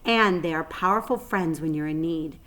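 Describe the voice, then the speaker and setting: A woman voicing an excitable, motherly female animal in a children's storybook, exclaiming and repeating phrases for emphasis. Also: low-pitched, normal speed. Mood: earnest. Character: Lady Sue, excitable scolding motherly female tree-climbing animal. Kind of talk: children's storybook read-aloud.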